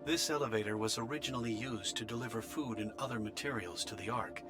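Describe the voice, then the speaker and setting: Low-voiced solemn male official played by an actor. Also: lightly acted. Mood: grave, businesslike. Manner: measured, formal, diplomatic. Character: solemn male official delivering grave military orders. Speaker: official voice of the Ark leaving a recorded message. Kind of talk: formal scripted military briefing. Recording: music behind the voice.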